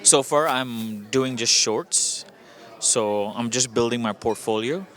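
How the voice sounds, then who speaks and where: A man's voice: mid-range voice, slow pace, a bit bright. A man from Indonesia giving casual interview answers as a filmmaker, upbeat and reflective.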